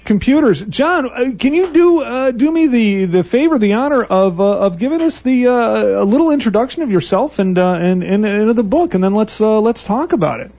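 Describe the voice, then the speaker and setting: Male radio host, high voice, effusive and rambling, restarts a lot, muffled lo-fi sound. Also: expressive, a little fast, few pauses, dark tone. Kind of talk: casual interview hosting. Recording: muffled radio broadcast audio.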